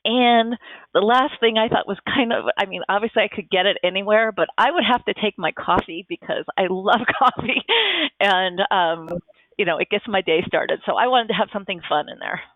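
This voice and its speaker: A woman's voice: mid-range voice, moderate pace, no echo. A woman speaking Japanese as her first language, a podcast guest giving thoughtful, enthusiastic answers with frequent restarts, heard over a tinny line. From United States. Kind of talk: casual interview answers.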